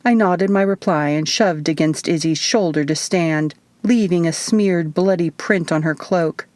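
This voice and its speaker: A woman's voice: lowish voice, unhurried, darkish tone, dry recording. A woman narrating first-person fiction as an audiobook reader, in a scripted, storytelling style.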